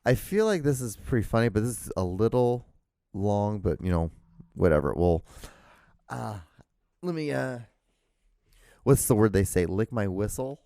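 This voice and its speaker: A man hosting a solo podcast, rambling loudly with many fillers and restarts into a handheld digital recorder. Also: lowish voice, expressive, a little slow, creaky, pauses often, no room sound, clean studio sound. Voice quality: loud voice. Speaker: podcast host.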